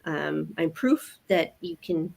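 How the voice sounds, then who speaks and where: An older woman, a grandmother, speaking as a guest in a live online business chat in an earnest, measured way. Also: sits low, expressive, gravelly, a little mellow. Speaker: panel guest.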